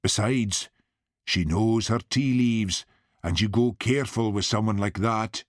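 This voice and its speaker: A man's voice: fairly low pitch, a little slow, no echo, close to an everyday voice. A man voicing an eccentric, matter-of-fact Scottish truck driver with a broad accent. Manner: dry, matter-of-fact, odd.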